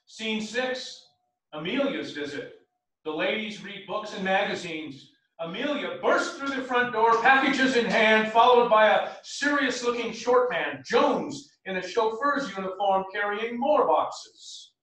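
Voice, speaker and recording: A man's voice: sits high, animated, unhurried, bright, forceful tone. A man reading stage directions as scripted narration, recorded from far off in a theatre. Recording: echoey theatre, far from the microphone.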